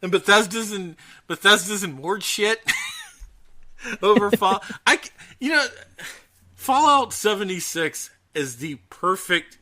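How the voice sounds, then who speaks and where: A man chatting casually as a podcast host, ranting in an animated, profane way. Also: sits high, takes it slowly. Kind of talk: casual chat about gaming news.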